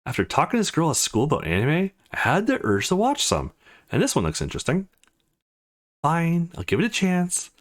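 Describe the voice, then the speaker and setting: A man's voice: on the high side, huge pitch swings, a little fast. A man reading visual novel dialogue aloud for a let's play, acting out the lines.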